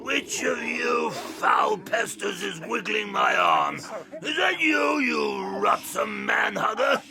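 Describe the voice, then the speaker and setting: Monstrous giant, bad-tempered brute, bellowing, slow drawn-out words, mangled grammar, audio drama with busy backdrop. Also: male voice, high voice, wildly swooping pitch, vocal fry, shouting, over music, heavily acted. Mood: angry, menacing. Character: huge brutish bad-tempered man-eating male giant. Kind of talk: full-cast audiobook drama acting.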